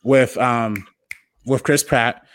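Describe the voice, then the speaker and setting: A man's voice: mid-range voice, slow pace. A man guest on a casual podcast, thinking aloud with many ums and restarts.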